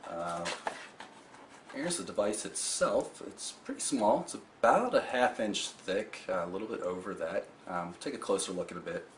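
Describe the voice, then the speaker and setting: A man with a low voice presents a gadget in a casual, explanatory way. He is matter-of-fact, like a reviewer walking through a product. There is clear noise in the background of the recording. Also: expressive, moderate pace.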